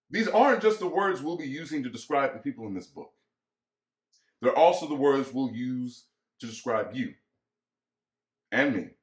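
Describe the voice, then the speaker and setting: A man's voice: mid-range voice, fairly bright tone. A man giving an explanatory scripted reading, addressing the listener directly. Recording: echoey room.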